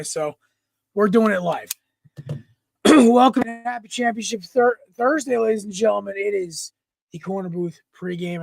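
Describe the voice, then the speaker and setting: A young man hosting a casual sports podcast from home, a little raspy from smoke, rambling and clearing his throat often. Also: sits high, dry recording. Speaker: podcast host. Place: room at home. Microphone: computer mic, no headset.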